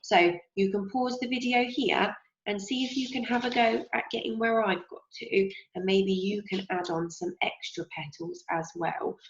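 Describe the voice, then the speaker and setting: A young woman teacher with a slightly croaky voice, gently leading a craft lesson for young children and asking them questions as she goes. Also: medium pitch, steady tone. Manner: slow, encouraging, asks children questions. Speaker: primary school teacher. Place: home kitchen, indoors. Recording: roomy, dull lo-fi sound.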